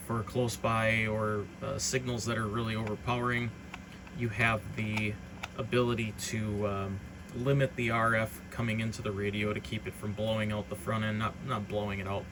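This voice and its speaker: Man explaining gear over clear background noise. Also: on the low side. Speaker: hobbyist presenter demonstrating radio gear. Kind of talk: informal product walkthrough.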